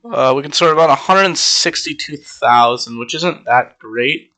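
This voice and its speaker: A man's voice: medium pitch, lively intonation, unhurried, pushed and bright. A young man casually walking through a game build, rambling with many ums and sounding slightly congested. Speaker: Minecraft server player. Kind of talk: casual gameplay walkthrough.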